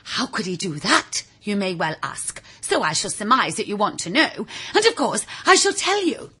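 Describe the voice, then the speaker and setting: A woman reading a children's story in a theatrical, confiding way, with a husky, raspy voice, speaking directly to the listener. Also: medium pitch, big pitch swings, normal speed, bright, forceful tone. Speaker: audiobook reader. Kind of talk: animated scripted children's story narration.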